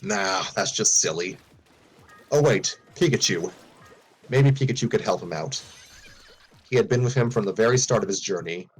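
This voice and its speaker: A man reads a fan story aloud in a casual way, often tripping over words and starting lines again. His voice sits low with a husky grain. Soft music plays faintly behind him. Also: moderate pace, pauses often, rough recording. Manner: frequent stumbles and restarts. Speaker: streamer reading fan fiction aloud.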